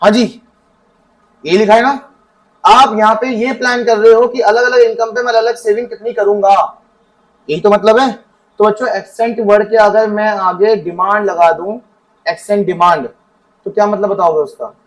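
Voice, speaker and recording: Male teacher, high voice, quizzes the class and answers himself. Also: fairly even intonation, low-quality recording. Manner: asks students questions and answers them himself; reads definitions from the book slowly. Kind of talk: classroom economics lesson.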